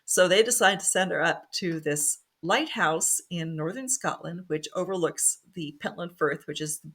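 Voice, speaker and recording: A woman's voice: on the low side, moderate pace, a bit bright, husky. A woman author, a former pharmacist, talking casually in an interview with many fillers and restarts and easy laughs.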